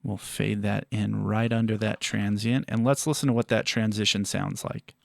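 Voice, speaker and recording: A man presenting a step-by-step audio software tutorial in a measured, explanatory way. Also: on the low side, fairly even intonation, vocal fry, heavy background noise.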